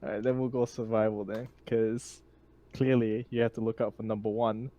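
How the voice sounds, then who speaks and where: A man's voice: on the low side, flat delivery, normal speed, dark tone, muffled recording. A man playing a tabletop game, chatting casually in a jokey, wisecracking way. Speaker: player in a tabletop roleplaying game. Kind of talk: casual joking game chat.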